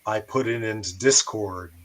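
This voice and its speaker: A man with a fairly low voice and a bright, forceful edge. He talks as a host walking through a live demonstration, methodically explaining each step and pausing between phrases.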